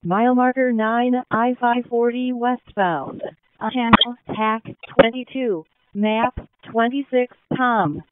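A woman's voice: fairly high pitch, takes it slowly. A woman working as an emergency dispatcher, making clipped, repetitive procedural announcements over radio. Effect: radio transmission. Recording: noisy, narrow-band emergency radio with clicks.

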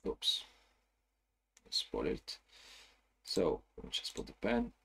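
Low-voiced man explaining software, chatty. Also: wildly swooping pitch, takes it slowly, gravelly, slightly rough. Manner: casual, explanatory, frequent "okay?" checks. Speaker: software trainer. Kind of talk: screen-share tutorial.

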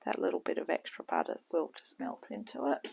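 A woman's voice: lowish voice, brisk, gravelly, darkish tone, breathy edge. A middle-aged woman, chatting casually while she cooks in her home kitchen, often trailing off into muttering. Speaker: home cooking channel host. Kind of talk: casual cooking tutorial. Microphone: camera on the counter. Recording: noisy home kitchen recording.

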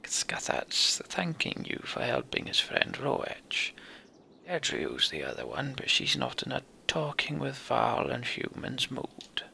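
A man voicing a wry, learned old horned giant from a game, explaining things in a dry, measured way. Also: mid-range voice, wildly swooping pitch, vocal fry, bright, forceful tone, slight background noise, exaggerated voice. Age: older.

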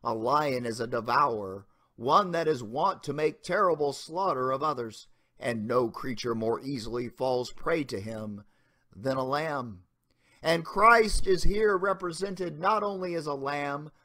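A man giving a dramatic reading of an old sermon as a pastor, in solemn, deliberate, emphatic formal oratory. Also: medium pitch, bright, forceful tone.

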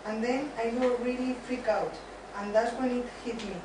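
A woman's voice: on the high side, steady tone, normal speed, lightly acted. In a noisy, very echoey room, a woman acts out a frazzled runaway bride from a sitcom. She rambles on. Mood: rambling, confessional. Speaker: student in an amateur dramatized reading. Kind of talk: amateur dramatized sitcom reading. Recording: distant, very echoey room, clear noise.

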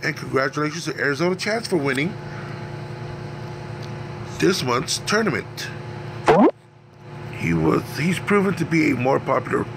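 A man's voice: fairly high pitch, moderate pace, creaky, pauses often. A man with a cold and a scratchy voice, casually commenting as he plays a wrestling management game. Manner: relaxed, rambling narration of his picks. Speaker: gaming channel host. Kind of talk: let's play commentary.

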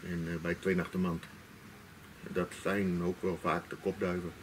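A man's voice: deep voice, little pitch movement, moderate pace, background noise. A man in his fifties with a Dutch accent, a pigeon breeder answering interview questions in a plain, matter-of-fact way. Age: 50s. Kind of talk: casual interview. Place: outdoor patio of a house.

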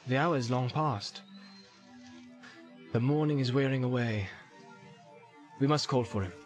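A man's voice: medium pitch, measured pace, soft background music, lightly acted. A man voicing a grave, authoritative leader of a company, speaking formally in a storybook reading. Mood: stern questioning. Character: grave, commanding male ranger, leader of a company.

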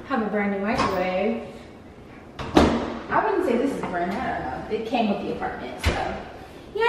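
A playful woman in her early twenties chats her way through an empty apartment. Her fairly high voice bounces around the bare walls with a long, hollow echo. The camera mic sits some distance away and picks up a steady hiss. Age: 20s. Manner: bubbly, chatty, playful. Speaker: vlogger. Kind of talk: casual apartment tour vlog. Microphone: camera mic, handheld and at a distance. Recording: echoey empty rooms, camera held away.

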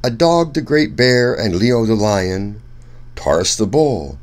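A man reading a rhyming children's picture book aloud in an expressive, sing-song storyteller's way. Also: on the low side, takes it slowly. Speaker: children's story reader, host.

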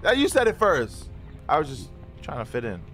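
A man with a slight lisp chatting casually while playing a video game with a friend, excitable and swearing at the screen. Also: a little high, normal speed. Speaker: gamer. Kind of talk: casual co-op gameplay chat. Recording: music playing behind.

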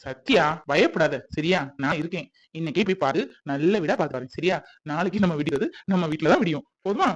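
A man's voice: a little high, expressive, fairly quick. A young adult man playing a protective young man who soothes his partner and keeps checking that she agrees. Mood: reassuring, firm. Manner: soothing, insistent, repeats "okay?". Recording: dull lo-fi audio.

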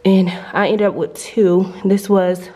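A woman's voice: mid-range voice, fairly even intonation, slow, background noise. A woman vlogger chatting and gushing as she walks through her apartment, filming with a handheld camera. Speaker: lifestyle vlogger. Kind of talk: casual home tour vlog. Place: apartment indoors. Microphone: handheld compact camera mic.

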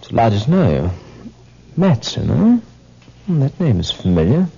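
An actor playing an affected, condescending society nephew in a 1950s radio drama. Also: male voice, mid-range voice, huge pitch swings, measured pace, gravelly, dark tone, husky, exaggerated voice. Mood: suave, smug. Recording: dull lo-fi old radio broadcast.

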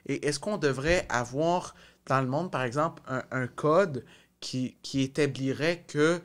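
A man's voice: mid-range voice, a little slow, a bit bright. A young man with a Québécois French accent hosting a casual discussion, rephrasing as he searches for his wording, on a studio mic. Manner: searching, roundabout, repeats and rephrases. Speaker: podcast host. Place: small indoor podcast studio. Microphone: close studio microphone.

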